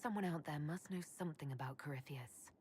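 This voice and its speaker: A principled woman leader, played by an actress, steady and direct in a lowish voice. She speaks with composure, in a long hall reverb. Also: brisk, a little hiss or hum, natural voice. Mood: inquiring, composed. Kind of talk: video game voice acting. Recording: hall reverb, faint ambience.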